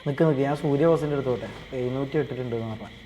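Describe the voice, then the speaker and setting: A man talks casually in a live role-play game chat, in a mid-pitched voice. Faint noise sits behind him on the voice chat. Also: very fast, warm tone, rough recording.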